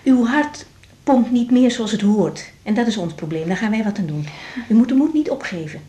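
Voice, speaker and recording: An actress playing a young, sensitive, tired woman doctor in a radio drama, speaking gently and reflectively with a Netherlands Dutch accent. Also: fairly high pitch, animated, normal speed, husky. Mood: caring, calm. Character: young female doctor, weary, sensitive, caring. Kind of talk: radio drama acting, intimate diary monologue and dialogue.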